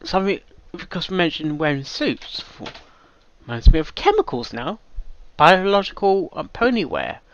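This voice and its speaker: Guy doing casual reaction commentary, highish voice, rambles and repeats himself, not much room sound. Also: wildly swooping pitch, normal speed, no echo, muffled recording. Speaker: reaction video host.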